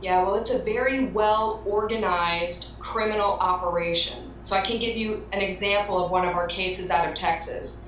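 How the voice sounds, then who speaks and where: A woman's voice: medium pitch, fairly even intonation, moderate pace, few pauses, a bit bright. A woman giving earnest, emphatic testimony as an interview guest. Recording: echoey, distant, dull, faintly noisy.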